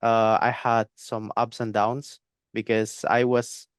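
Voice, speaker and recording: Young male guest, clear resonant tone, dry close sound, halting delivery with fillers. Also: mid-range voice, little pitch movement, no echo. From Spain. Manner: hesitant, repeats words, many fillers. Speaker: guest trader. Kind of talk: interview conversation.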